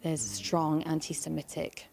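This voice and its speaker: A woman's voice: low voice, moderate pace, vocal fry, darkish tone, slight background noise. A woman chatting casually as an interviewee in a news report.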